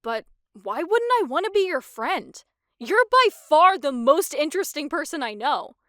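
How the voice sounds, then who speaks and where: A woman voicing a curious, excitable, nerdy teenage boy in a comic dub, eager and inquisitive. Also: high-pitched, measured pace, no room sound, clean studio sound, voice pushed up, exaggerated voice. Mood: excited, amazed.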